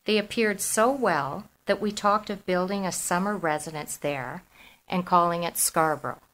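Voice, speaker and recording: A middle-aged woman from Toronto with a Canadian accent, an author speaking in a measured, articulate way as in a literary reading. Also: fairly low pitch, rough recording. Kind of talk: literary reading and self-introduction.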